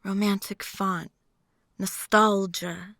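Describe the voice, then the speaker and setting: A woman's voice: medium pitch, flat delivery, takes it slowly, fairly bright tone, no room sound, studio quality. A woman acting a chatty, philosophically morbid date in an intimate ASMR roleplay, musing and teasing as she rambles to the listener. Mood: soft, wistful.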